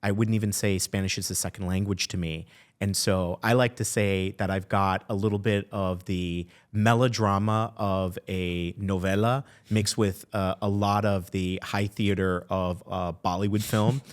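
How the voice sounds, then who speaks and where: A man from Miami hosts a podcast interview, relating to his guest before moving on with a measured question. His voice is very deep and low-set. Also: fairly even intonation. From Miami, USA. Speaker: podcast host. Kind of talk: interview conversation.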